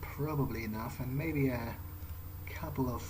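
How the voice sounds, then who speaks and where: A man's voice: mid-range voice, moderate pace, noisy background. A man playing a video game in character, thinking aloud in hesitant, muttered asides to himself. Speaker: gameplay streamer role-playing in character. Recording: loud game music behind.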